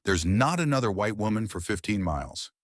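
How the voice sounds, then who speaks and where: A man's voice: on the low side, expressive, fairly quick, no room sound. A man playing a dry, good-humored middle-aged English doctor in a pulp story, given to wry understatement. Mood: grumbling.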